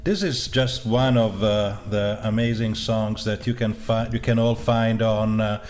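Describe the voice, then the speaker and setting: A man with a low voice hosts a casual interview. He asks questions eagerly but stumbles through many uhs and restarts. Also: steady tone, a little mellow. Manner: halting, many uh fillers, enthusiastic. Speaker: interview host.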